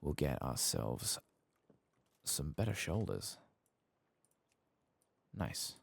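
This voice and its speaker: Very deep, creaky man, soft-spoken. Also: sing-song, very slow. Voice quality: gravelly, husky. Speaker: game streamer. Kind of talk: soft-spoken ASMR gaming commentary, reading quest text aloud.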